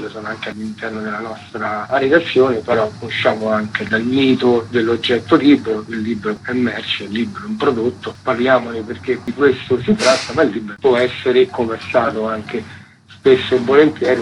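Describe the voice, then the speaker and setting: A man's voice: medium pitch, slight background noise, poor audio. A man, a radio editor being interviewed, explaining at length in a conversational, self-correcting way.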